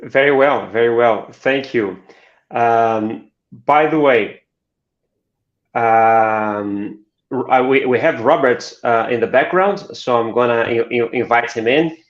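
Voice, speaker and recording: A man's voice: mid-range voice, slow. Hesitant male host, echoey dull call audio. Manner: hesitant, frequent uh and repeats. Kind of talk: live webinar hosting. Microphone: video call.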